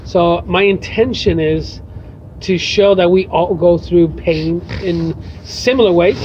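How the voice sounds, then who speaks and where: A man's voice: sits high, takes it slowly, a little mellow, dull audio, slight background noise. A man, a poet and teacher, answering interview questions outdoors by a bar, thoughtful and hesitant with many fillers. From Brazil. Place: outdoors by a bar in light rain.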